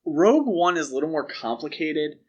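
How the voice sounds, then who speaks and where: A man's voice: on the high side, expressive, fairly quick, slightly rough, lo-fi sound. A man hosting a podcast, discussing films casually in a conversational, opinionated way. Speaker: podcast host.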